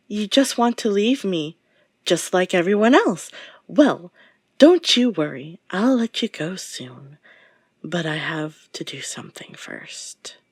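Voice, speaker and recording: Unhinged possessive female captor, played by a voice actress, deliberate and husky, menacing, dry close sound, audio drama. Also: no room sound, heavily acted. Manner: swings between insistent outbursts and low menacing murmurs. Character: obsessive, menacing woman kidnapper, possessive and unhinged. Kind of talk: dramatic audio roleplay monologue.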